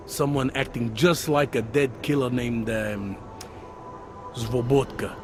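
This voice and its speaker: A man's voice: medium pitch, slow pace, natural voice. World-weary police detective, a man acting, music behind. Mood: pensive, hesitant. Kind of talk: video game cutscene dialogue.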